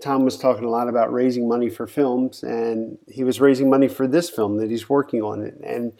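A man's voice: mid-range voice, flat delivery, brisk, warm tone. A man hosting a podcast, introducing his guest in a loose, casual way full of fillers. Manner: loose, filler-heavy, friendly setup. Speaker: podcast host.

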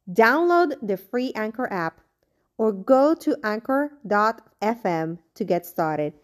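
Woman, ad read, slow delivery, fairly high voice, scripted. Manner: scripted and even. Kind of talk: read sponsor ad.

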